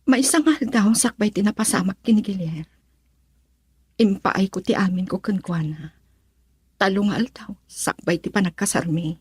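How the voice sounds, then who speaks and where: An actress playing a troubled, remorseful young wife in an Ilocano radio drama, with emotional, melodramatic delivery. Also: female voice, medium pitch, normal speed, darkish tone, slightly rough, dry recording. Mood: remorseful, hurt.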